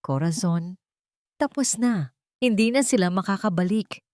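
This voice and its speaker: A woman's voice: mid-range voice, lively intonation, unhurried, darkish tone, dry recording, clean studio sound, lightly acted. A woman voicing a wealthy young father who speaks coldly and firmly to protect his family. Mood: gentle. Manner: cold, firm, threatening.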